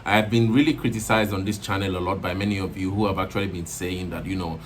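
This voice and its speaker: Rambling low-voiced commentary host. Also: male voice, fairly even intonation, fast, slight background noise. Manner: rambling, many fillers and restarts. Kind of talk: video commentary monologue.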